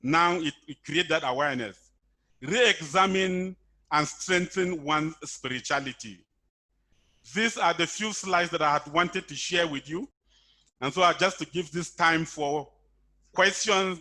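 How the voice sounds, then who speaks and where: A man with a bright, forceful voice sits a little high in pitch. He speaks as a presenter, delivering prepared points over an online call. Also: measured pace. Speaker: guest speaker, webinar presenter. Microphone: video call.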